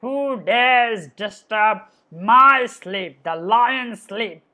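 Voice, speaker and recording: A woman voicing a big, proud male lion in a storybook tale, gruff and mocking. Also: a little high, drawn-out words, bright, forceful tone, voice pushed down, full character voice. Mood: booming.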